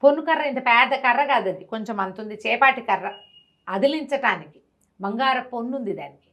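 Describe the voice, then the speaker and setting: A woman storyteller telling a devotional tale in an animated way, acting out the characters' voices as she goes. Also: fairly high pitch, brisk.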